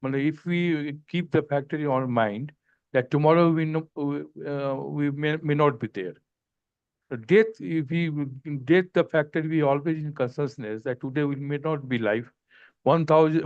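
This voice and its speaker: A man's voice: on the high side, clear, resonant tone, no room sound. Deferential man on a muffled call. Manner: deferential, says sir often. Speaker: participant asking questions. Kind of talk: online spiritual Q&A. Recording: dry, muffled video-call audio.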